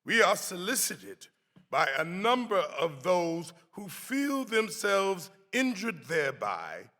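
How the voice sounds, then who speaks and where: A male actor plays a dignified 18th-century clergyman reading out a defense. His voice is bright and forceful, swinging widely in pitch, with formal, declamatory phrasing that rings through a reverberant hall. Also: sing-song, slow pace, full character voice. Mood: solemn, resolute. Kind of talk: dramatic reading of a historical pamphlet.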